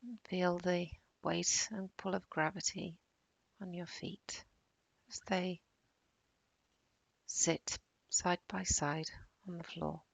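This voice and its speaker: A woman guiding a body-scan meditation in a calm, soothing, unhurried way, with long pauses and soft, breathy phrase endings. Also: lowish voice, no echo, rough recording. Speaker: meditation guide.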